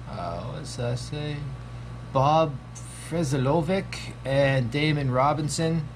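A man's voice: mid-range voice, a little hiss or hum, low-quality recording. A man in his thirties giving a casual, profanity-laced horror movie review from a small home room, with lots of ums. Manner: laid-back, swears constantly, frequent ums.

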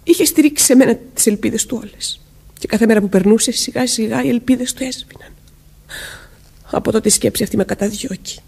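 A woman's voice: on the high side, vocal fry, dark tone, breathy edge. An actress playing an anxious, guilt-haunted married woman, emotional and pleading, in a radio drama style. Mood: anguished, guilty. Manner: emotional, pleading, breaking into whispers. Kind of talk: Greek radio drama acting.

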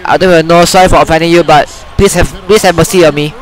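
A young man with a high, excitable voice yells, laughs and trash-talks his way through a basketball video game. He talks close into a headset mic in a small room, jumping between chatter and sudden shouts. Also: rapid-fire, soft background music, poor audio. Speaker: gamer. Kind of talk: excited gameplay commentary.

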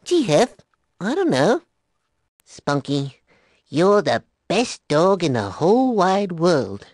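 A timid young cartoon wallaby speaks in a high, swooping Australian voice. He is good-natured and earnest, excitable yet very unhurried in his delivery. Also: no echo, studio quality, heavily acted. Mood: fond. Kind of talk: cartoon voice acting, isolated clips.

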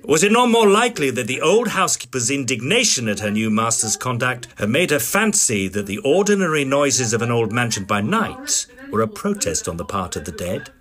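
An actor playing an inquisitive Edwardian gentleman ghost hunter, narrating and speaking in a formal British accent. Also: medium pitch, very animated, a little fast, few pauses. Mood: pondering. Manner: genteel, formal. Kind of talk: dramatised storytelling.

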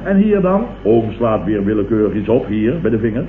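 A man's voice: on the low side, big pitch swings, a little fast. A Dutch man playing a pompous, indignant middle-aged businessman who sputters and declaims in a radio comedy. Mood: lecturing. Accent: Dutch, from the Netherlands. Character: blustering, pompous middle-aged businessman, opportunistically turned leftist. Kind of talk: 1960s-70s radio comedy acting. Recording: tinny, echoey, hissy old radio recording.

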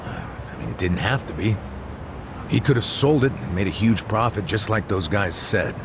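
Gravelly middle-aged man, low. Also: sing-song, normal speed, noisy background, full character voice. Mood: explanatory. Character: gruff, slow-witted middle-aged man, earnest. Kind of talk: English video game cutscene dub. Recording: music behind the voice.

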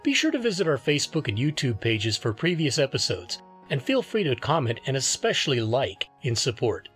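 A man's voice: medium pitch, sing-song, brisk, a bit bright, faint music behind. A man working as an announcer, reading a scripted radio show sign-off.

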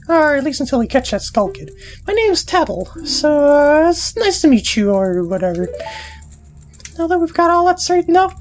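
Woman doing a high, pushy little fairy girl. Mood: pleading, grudging. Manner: pushy, whiny, grudging. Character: bossy, impatient little girl fairy companion. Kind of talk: dubbing video game dialogue.

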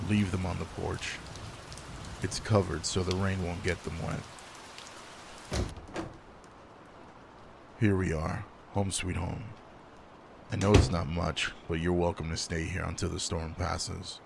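Gravelly, low alpha werewolf, acted by a man. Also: little pitch movement, background noise, full character voice. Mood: brisk, caring. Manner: slow, teasing, commanding. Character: gruff alpha male werewolf, guarded but caring. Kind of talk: intimate roleplay audio drama.

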